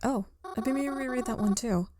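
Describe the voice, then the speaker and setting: A woman's voice: on the high side, fast, a little hiss or hum, lightly acted. A woman voicing a shy, bookish, easily flustered young woman in a visual novel game, hesitant and stammering. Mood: shy, eager. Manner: hesitant, soft-hearted, stammering.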